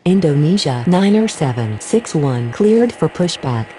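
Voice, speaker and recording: A woman's voice: sits low, very animated, unhurried, mellow. A woman playing a pilot and air traffic controller, giving formal, clipped radio callouts in standard aviation phraseology. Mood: businesslike.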